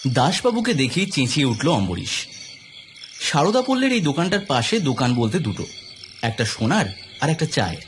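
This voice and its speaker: A man narrating a scripted audio story in an expressive, suspenseful, dramatic storytelling style. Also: a little high, moderate pace, slight background noise. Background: clear background music.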